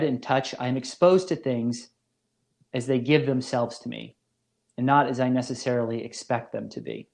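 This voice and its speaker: Man lecturing formally, medium pitch. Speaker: philosophy professor, lecturer. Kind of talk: scripted academic lecture.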